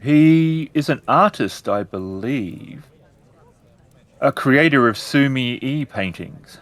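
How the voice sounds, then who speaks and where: A man playing a polite, cautious innkeeper in a samurai-era tabletop story, with a deferential, measured way of talking. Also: mid-range voice, animated, voice pushed up. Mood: guarded, helpful.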